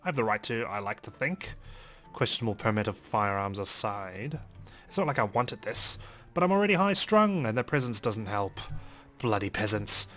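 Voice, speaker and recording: A man's voice: fairly low pitch, expressive, normal speed, fairly bright tone, soft background music. A man narrating a visual novel in the first person, with a theatrical, wry delivery. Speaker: let's play narrator.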